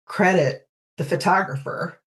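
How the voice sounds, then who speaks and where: A woman's voice: fairly low pitch, unhurried. A woman speaking as a podcast guest in a casual, conversational interview style. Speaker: podcast guest, fanfiction audio narrator.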